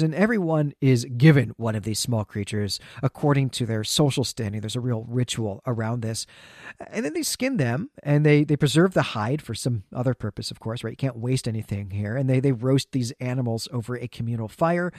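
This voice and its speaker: A man's voice: medium pitch, darkish tone, dry recording. Man explaining things in a dry studio sound. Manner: explanatory, often checks in with "right". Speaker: podcast co-host. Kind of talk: conversational literary recap and discussion.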